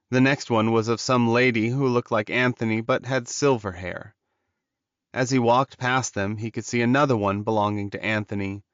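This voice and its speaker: Guy reading a fantasy audiobook, dramatic story narration, mid pitch, dry sound but a bit dull and lo-fi. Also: clean tone, dry recording.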